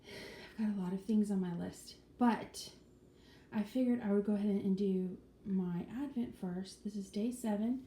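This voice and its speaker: A woman's voice: medium pitch, moderate pace, mellow. A woman vlogger chatting casually and rambling with many ums and restarts, sometimes dropping to a whisper. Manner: drops to a whisper at times. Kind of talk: casual vlog chat. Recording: echoey room with faint noise.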